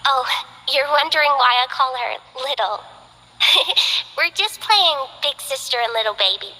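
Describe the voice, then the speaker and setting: An actress voicing a gentle, shy young female botanist in a game, speaking softly with some hesitation. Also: sits high, husky, in a large hall, heavily acted, low-quality recording. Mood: cheerful. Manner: soft-spoken, hesitant. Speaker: game character. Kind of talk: game voice lines.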